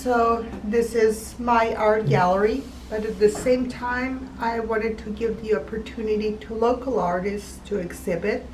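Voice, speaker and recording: A woman's voice: mid-range voice, slight background noise. A woman from Serbia, an artist and gallery owner answering interview questions, hesitant and full of ums while searching for words. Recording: roomy, distant mic with music behind.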